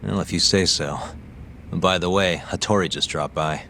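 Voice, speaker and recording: Low-voiced, guarded private investigator. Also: male voice, moderate pace, vocal fry, sharp tone, a little hiss or hum, exaggerated voice. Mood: calm, sober. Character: world-weary male private investigator, guarded and dry. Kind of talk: video game cutscene dialogue. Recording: music behind the voice.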